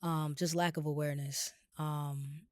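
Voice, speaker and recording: A woman co-hosting a podcast, a little raspy from a cold, talking casually and rambling with lots of fillers. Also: low voice, measured pace, no room sound. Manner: relaxed, rambling with many fillers. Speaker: podcast co-host. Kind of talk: casual chat.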